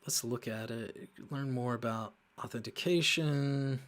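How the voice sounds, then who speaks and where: Man, slow halting delivery, mid-range pitch, casual coding host, thinking aloud, muttering to himself. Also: fairly bright tone.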